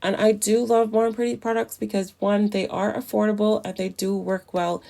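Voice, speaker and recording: A woman's voice: mid-range voice, little pitch movement, moderate pace, creaky, dark tone. A woman narrating a casual nail tutorial in a chatty, enthusiastic way, her voice a little off as it recovers from the flu. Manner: chatty, enthusiastic about products.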